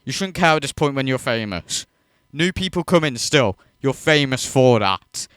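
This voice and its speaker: A man's voice: medium pitch, lively intonation, normal speed, a bit bright, smooth, no room sound, studio quality. A man hosting a reaction video, ranting in disbelief and swearing freely as he mocks what he watches. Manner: incredulous, profane, mocking.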